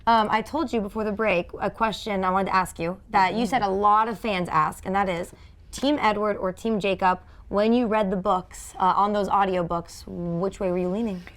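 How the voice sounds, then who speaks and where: A woman's voice: medium pitch, steady tone, normal speed. A woman co-hosting a studio talk show, enthusiastic and upbeat as she interviews a guest and leads into breaks. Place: TV studio couch.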